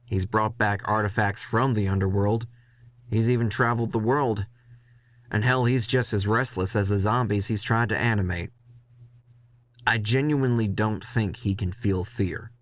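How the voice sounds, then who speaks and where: A man reading a dramatic first-person horror story in a measured, intense way, with music behind him. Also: fairly low pitch, steady tone, no echo.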